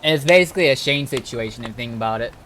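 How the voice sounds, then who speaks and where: A man chatting casually on a game livestream, telling stories in a laid-back, halting way. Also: medium pitch, a bit bright, a little hiss or hum. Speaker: game streamer. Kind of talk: casual livestream chat, recapping roleplay events.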